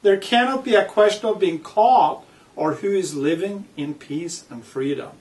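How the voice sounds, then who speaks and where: A man reading his own book aloud with commentary, speaking in deliberate, emphatic phrases broken by pauses. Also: high voice, a little mellow. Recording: distant microphone.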